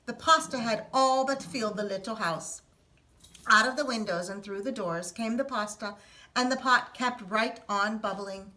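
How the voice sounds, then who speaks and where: A woman teacher reading a picture book aloud to children in an expressive storytelling manner. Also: a little high, normal speed, poor audio. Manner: expressive, sing-song storytelling.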